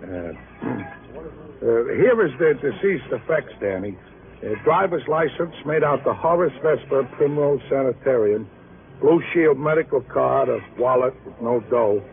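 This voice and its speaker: Dim New cop sidekick, animated. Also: male voice, mid-range voice, measured pace, creaky, slight background noise. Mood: proud. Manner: ungrammatical street talk, proud and plodding explaining. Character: folksy, dim, self-assured police sidekick detective. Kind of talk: 1950s radio drama acting. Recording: muffled old radio broadcast, phone-like.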